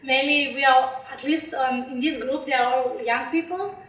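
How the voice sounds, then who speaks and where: A woman's voice: sits high, normal speed, a bit bright. A young woman with a Slovenian accent, answering interview questions in English with many fillers and restarts. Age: young adult. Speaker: interviewee, PhD candidate. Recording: echoey room, mic far off.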